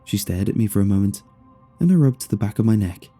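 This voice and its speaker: A man's voice: on the low side, lively intonation, brisk, mellow, breathy edge, soft background music. A man reading a scripted first-person horror story as its narrator.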